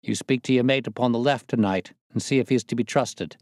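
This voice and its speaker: A man's voice: mid-range voice, brisk, no echo, clean studio sound, lightly acted. A man reading a story aloud, voicing a boastful, swaggering young male convict who leads a plot. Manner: boastful, coarse, excitable.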